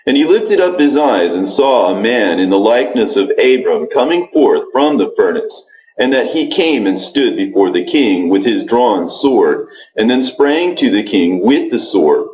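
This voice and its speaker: Low-voiced man reading scripture, lively intonation. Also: a little slow, roomy sound, rough recording. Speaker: scripture narrator. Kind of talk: scripted read-along narration of an ancient scripture.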